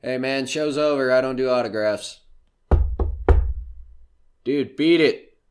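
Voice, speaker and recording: A man's voice: medium pitch, takes it slowly, smooth, soft background music, natural voice. A man playing a jaded, foul-mouthed nightclub comedian in an audio drama, brash, curt and grumbling. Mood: irritated, guarded.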